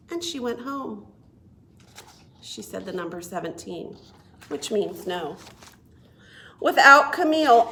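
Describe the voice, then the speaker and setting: A woman reading a picture book aloud to young children as a children's librarian, expressive and deliberate. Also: medium pitch. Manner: expressive, deliberate, asks listeners questions. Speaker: children's librarian and storytime reader.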